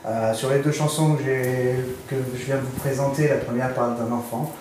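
A man's voice: medium pitch, steady tone, a little fast, a little mellow. A man in his thirties from northern France with a French accent, casually answering interview questions into a handheld microphone. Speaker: musician being interviewed. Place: small furnished indoor studio. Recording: very echoey room with clear noise.

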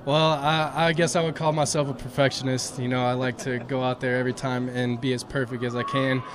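A young man speaking in an even, flat tone, answering modestly and leaning on "you know" between thoughts. He talks into a handheld mic out in the stands of a ballpark. There is a clear wash of outdoor noise behind him. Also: mid-range voice, fairly quick. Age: young adult. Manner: modest, soft-spoken, full of "you know" fillers. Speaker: college baseball pitcher. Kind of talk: post-game interview. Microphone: handheld mic held by interviewer.

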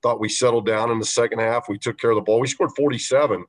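A man coaching a basketball team, answering reporters' questions after a game, measured and halting with many fillers. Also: mid-range voice, steady tone. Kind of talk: post-game press conference answers.

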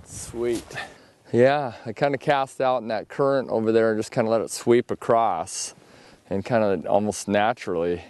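A middle-aged man hosting a fishing show outdoors by a river, talking casually with quick, enthusiastic exclamations on a clip-on mic. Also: lowish voice, darkish tone, clear, resonant tone.

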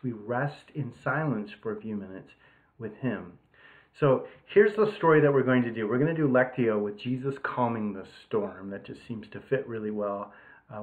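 A middle-aged man leads an online retreat in a conversational way, repeating key phrases as he teaches. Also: medium pitch. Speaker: priest leading an online retreat. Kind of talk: informal devotional teaching to camera. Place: small room at home. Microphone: webcam at arm's length. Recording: muffled, phone-like webcam audio.

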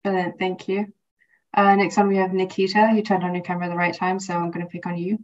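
A woman's voice: fairly low pitch, level tone, quick pace. Upbeat woman presenting on a video call. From Singapore. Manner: upbeat, warm hosting with frequent fillers. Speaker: workshop speaker. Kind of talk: online webinar presentation. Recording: distant, dull call audio.

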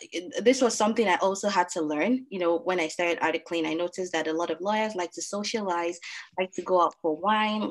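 A woman with a Nigerian accent moderating a panel discussion on a video call, talking in a relaxed way with many fillers. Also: fairly low pitch.

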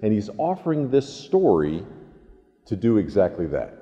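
A pastor in his sixties preaches in a mellow male voice. His phrasing is deliberate and emphatic, with a lively rise and fall in pitch. The sanctuary adds a long echo around his words. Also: dull audio. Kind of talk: sermon with scripture reading and prayer. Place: large church sanctuary, indoors. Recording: echoey church sanctuary.

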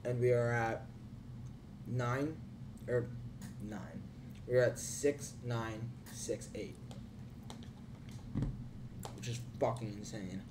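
A young man chatting casually on a livestream from a small bedroom, teasing and swearing offhand, his voice going hoarse. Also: medium pitch, fairly even intonation, extremely slow, pauses often, noisy background. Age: young adult. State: losing his voice. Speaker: streamer.

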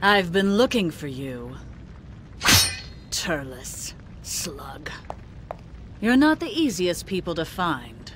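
A man's voice: fairly high pitch, big pitch swings, slow pace, long pauses, noisy background. A man playing a scornful, sinister male alien warlord in an anime game dub. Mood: menacing.

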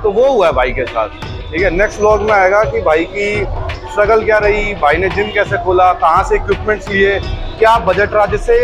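A man's voice: high-pitched, brisk. A young man from India chatting casually as he vlogs his day, with music playing behind him. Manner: chatty, repeats "ठीक है". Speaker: vlogger. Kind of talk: casual vlog chat. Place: outdoors and in a gym.

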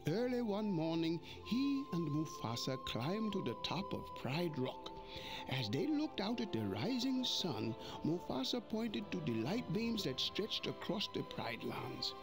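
An actor playing an old male mandrill elder, narrating a storybook read-along in an expressive way. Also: sits high, measured pace. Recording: music playing behind.

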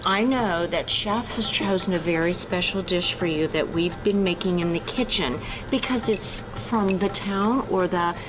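A woman's voice: on the low side, unhurried, hardly any pauses, poor audio. A woman hosting a cooking show, chatting with a guest in a restaurant and exclaiming over the food. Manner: enthusiastic, exclamatory. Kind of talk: casual interview while tasting food. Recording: music playing behind.

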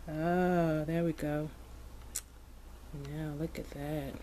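Slow, gentle woman narrating toy play. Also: low voice, flat delivery. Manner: slow, gentle, playful commentary with soft asides. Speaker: toy video host. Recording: background noise.

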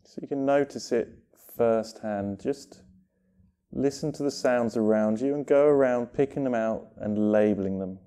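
A man leading a guided meditation with gentle instruction, speaking in unhurried phrases separated by long pauses. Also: mid-range voice, clean tone.

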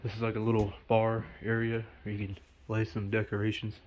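A man's voice: lowish voice, completely flat delivery, a little fast, noisy background. A man in his twenties walks through an empty abandoned house on a first-person camera. He chats casually to his viewers and points out what he finds. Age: 20s. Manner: talks to viewers while exploring, points out objects in plain remarks. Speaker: urban explorer vlogger. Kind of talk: casual walkthrough narration. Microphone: first-person body or head camera.

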